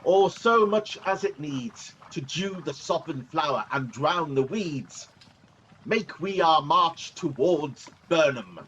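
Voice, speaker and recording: An amateur actor playing a grave rebel nobleman in a Shakespearean radio play, measured and declamatory. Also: a little high, animated, a bit bright, exaggerated voice. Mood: grave, resolute. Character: grave Scottish noble rebel soldier condemning a tyrant. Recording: muffled, noisy video-call audio.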